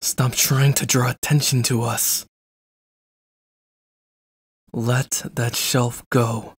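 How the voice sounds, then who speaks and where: A man's voice: medium pitch, level tone, very slow, husky. A man playing a jealous, controlling stalker in an ASMR roleplay, with a cold, clipped, threatening delivery. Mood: controlled threat. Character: jealous, controlling, menacing young male stalker.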